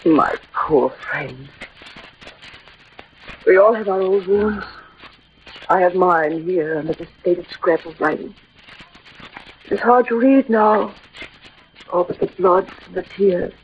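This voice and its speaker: A woman's voice: fairly low pitch, lively intonation, slow, pauses often. An actress playing a devoted, mournful woman living in a convent, with earnest, theatrical old radio drama delivery. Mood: concerned, sorrowful. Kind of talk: 1940s-50s radio drama acting. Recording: muffled, noisy old radio recording.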